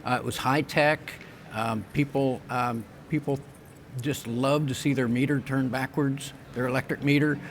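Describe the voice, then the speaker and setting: Older man, measured engineer on stage. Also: mid-range voice, fairly even intonation, a little hiss or hum. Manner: measured, engineer-like, frequent ums and restarts. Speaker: wind turbine company president. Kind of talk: conference stage interview. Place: conference stage.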